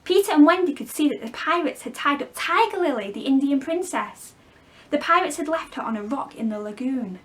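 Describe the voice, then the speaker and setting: A woman storyteller reading a children's story expressively, with clear phrasing for young listeners. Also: high-pitched, expressive, a little fast. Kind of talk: expressive scripted storybook narration.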